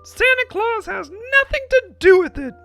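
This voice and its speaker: Tiny indignant latke for a storybook: extremely high, animated, emphatic, music underneath. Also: female voice, measured pace, exaggerated voice. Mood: exasperated, earnest. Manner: emphatic, shrieking outbursts, lecturing. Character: indignant little screaming latke, easily exasperated. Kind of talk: dramatic storybook reading.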